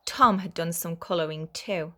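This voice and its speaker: A woman reading a children's story aloud as a teacher would, in a clear, expressive way for young listeners. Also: on the low side, normal speed.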